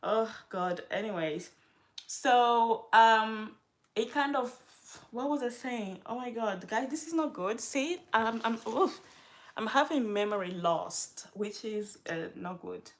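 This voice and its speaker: A woman's voice: fairly high pitch, lively intonation, slow. Rambling young woman vlogging. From Africa. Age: young adult. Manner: rambling, self-interrupting, loses her train of thought. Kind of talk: casual chat to camera.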